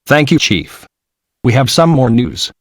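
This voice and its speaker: A man's voice: medium pitch, expressive, measured pace, dry recording, clean studio sound. A man playing a formal, composed TV news anchor in a scripted newscast.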